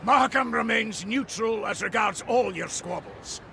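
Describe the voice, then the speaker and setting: Very gravelly old Scottish dwarf elder. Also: male voice, high-pitched, normal speed, bright, forceful tone, a little hiss or hum, exaggerated voice. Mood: stern, uneasy. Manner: blunt, folksy, bossy. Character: gruff, stubborn old dwarf elder of a mountain clan. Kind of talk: dramatic video game voice acting.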